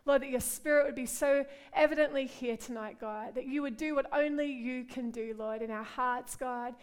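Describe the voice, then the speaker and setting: Australian woman, middle-aged, preacher, earnest prayer, fairly high voice, smooth and clear. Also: moderate pace. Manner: warm, earnest, repeats "God" and "Lord" in prayer. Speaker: pastor. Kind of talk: women's conference sermon and prayer.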